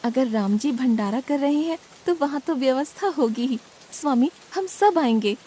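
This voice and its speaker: A woman's voice: sits high, animated, normal speed, warm tone, slight background noise. A woman playing a devoted, curious goddess in a mythological cartoon, speaking respectfully to her husband. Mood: curious, eager. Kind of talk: Hindi cartoon mythological acting.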